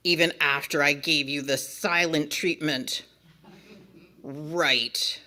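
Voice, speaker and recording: A woman's voice: sits low, pushed and bright, full character voice. A woman reading a picture book aloud as a frantic, bossy narrator who scolds and begs the reader in an exasperated, melodramatic way. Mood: cross, threatening. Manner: exasperated, melodramatic, emphatic pleading with drawn-out warnings. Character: frantic, bossy, pleading storybook narrator begging reader to stop. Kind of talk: dramatic children's picture-book read-aloud.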